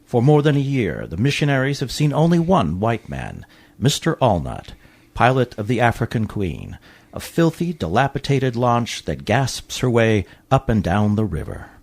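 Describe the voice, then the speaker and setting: Man as wildly animated Hollywood radio host. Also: medium pitch, normal speed, creaky. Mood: dramatic narration. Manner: theatrical, polished salesmanship. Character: grand old Hollywood radio producer, host and pitchman. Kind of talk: 1940s radio hosting, scripted narration.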